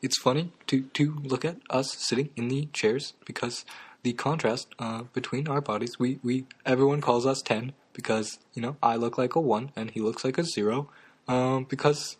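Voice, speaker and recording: Young guy hosting a joke talk show, deadpan, tons of ums and uhs, average pitch. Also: husky. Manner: halting, full of ums and uhs. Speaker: comedy talk show host. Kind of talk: absurdist deadpan comedy chat.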